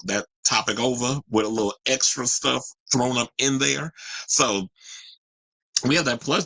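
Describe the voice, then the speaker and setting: A man's voice: on the low side, pushed and bright, no echo, rough recording. A man hosting a live stream in a casual, chatty, gossipy way, rambling into asides and informal slang. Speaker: host of a celebrity news live stream. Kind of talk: casual chatty pop-culture commentary.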